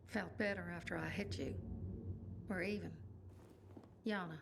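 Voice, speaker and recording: A woman's voice: lowish voice, fairly even intonation, a little slow. An actress playing a confident, protective young female fighter in a video game, blunt and self-assured but open. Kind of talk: video game cutscene acting. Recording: clear background noise and ambience.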